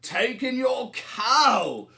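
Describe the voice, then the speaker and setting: A man voicing a calm, wise old man from a storybook, measured and deliberate. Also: very high-pitched, creaky, voice pushed up, exaggerated voice. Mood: calm advice.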